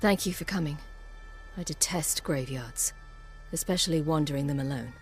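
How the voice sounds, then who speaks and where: A woman's voice: low voice, normal speed, faint music behind. An actress voicing a headstrong, hurt young woman with magic powers in a fantasy video game, intense and blunt. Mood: troubled, determined.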